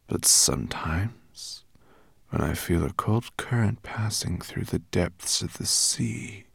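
A man voicing a courteous, ominous female sea serpent in an audiobook, with a slow, hissing, whispery delivery. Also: low-pitched, creaky, husky, no echo, studio quality, exaggerated voice. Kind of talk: audiobook character acting.

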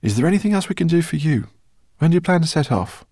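A man's voice: fairly high pitch, animated, fast, warm tone, husky, no echo, clean studio sound, voice pushed up. Guy doing an eager gray-haired professor dad, hearty, kindly, voice goes up and down loads, storybook style. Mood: happy, hearty. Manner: exuberant, hearty.

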